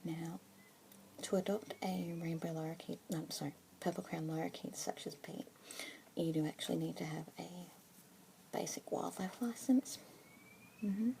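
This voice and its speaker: A young woman in a whispered ASMR roleplay as a pet adoption consultant, speaking slowly and gently in a soft unvoiced whisper. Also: fairly low pitch, fairly even intonation, vocal fry, slight background noise.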